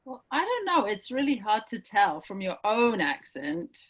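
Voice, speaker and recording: A woman from Cambridge, England, talks as a guest in a relaxed conversation. She is chatty and laughs easily, with a voice at an ordinary middle pitch. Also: normal speed, breathy edge, low-quality recording. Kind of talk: casual chat.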